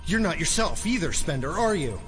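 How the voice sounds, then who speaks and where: An actor playing a haunted, bewildered male prisoner in an audio drama, with a halting, intense delivery. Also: a little high, sing-song, a bit bright, soft background music. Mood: urgent.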